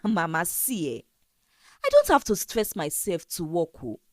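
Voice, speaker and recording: A woman narrator plays a conceited, scheming young woman in a storybook tale. She boasts and wheedles in an unhurried, very animated voice, stretching little interjections as she pleads her case. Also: fairly low pitch, dry recording. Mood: boastful. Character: vain, scheming young Nigerian woman, lazy gold-digger.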